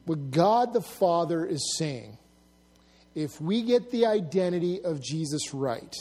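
A middle-aged man preaching with a high-pitched voice, pushing hard on key words and then dropping to a low, hushed intensity. His sermon rings through a church hall with a long reverb tail, and he lightens it with humorous stories. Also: expressive, measured pace. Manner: dramatic shifts between loud emphasis and hushed, low-voiced intensity; storytelling with humor, drawing laughs. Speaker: pastor.

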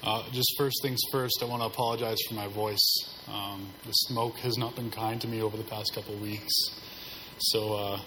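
A hoarse man preaching a sermon as pastor, keeping a measured, subdued delivery to spare his voice. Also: lowish voice, little pitch movement, pushed and bright. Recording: hall reverb, faint background noise.

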